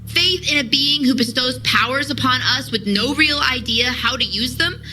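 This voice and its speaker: Lady doing a defiant soldier in an audio drama, forceful, higher pitched, super bright edge. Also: moderate pace, soft background music, rough recording. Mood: impassioned. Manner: forceful, argumentative. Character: defiant, headstrong female soldier confronting her commander.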